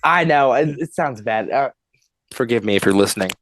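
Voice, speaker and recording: A man's voice: medium pitch, animated, fairly quick, fairly bright tone, a little hiss or hum. A man in his twenties, a podcast guest, telling a long funny story in casual chat, laughing and swearing as he goes.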